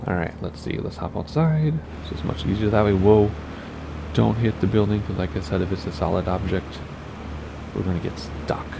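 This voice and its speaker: A low, gravelly man talks his way through a flight sim run, chatty and thinking aloud as he goes. His voice is raspy, and a steady hiss of noise sits under the recording. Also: normal speed. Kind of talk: casual gameplay commentary.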